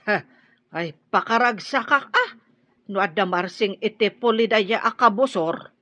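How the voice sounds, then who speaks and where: A bossy, nagging Ilocano woman in a radio drama. Her mid-range voice is sharp and pressing, on a dull, lo-fi recording. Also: medium pitch, unhurried. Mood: skeptical.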